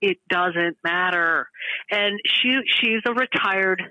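A woman of fifty-five calling in to a show, speaking earnestly and candidly in halting, restarted sentences. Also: mid-range voice, sharp tone, no echo, low-quality recording. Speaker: regular caller to a show. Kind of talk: candid emotional conversation.

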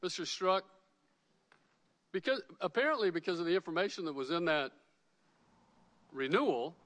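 A man's voice: sits high, sing-song, normal speed, fairly bright tone. A man questioning a witness at a hearing in a pressing, repetitive way. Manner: pressing, repeats his starts. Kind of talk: hearing cross-examination. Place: large hearing room. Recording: reverberant hearing room.

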